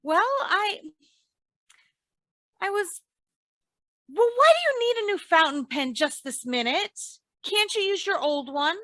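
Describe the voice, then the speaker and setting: A woman playing a patient, sensible, gently teasing housewife in old-time radio comedy, with a folksy, gently chiding manner. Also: very high-pitched, very animated, unhurried, long pauses, dry recording, exaggerated voice. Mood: flustered.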